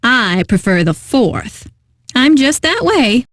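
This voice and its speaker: Casting: eccentric female classical station DJ for a game, high voice, dry close studio sound, pompous and theatrical delivery. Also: very animated, takes it slowly, a bit bright, dry recording, exaggerated voice. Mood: poised, refined. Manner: pompous, theatrical, savoring each phrase. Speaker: classical radio DJ. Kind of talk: scripted radio DJ patter for a video game.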